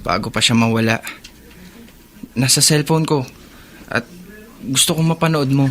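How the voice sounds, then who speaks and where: An actor playing a guilt-laden, earnest older brother who pleads gently in a Filipino radio drama. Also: male voice, medium pitch, normal speed, lightly acted. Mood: pleading.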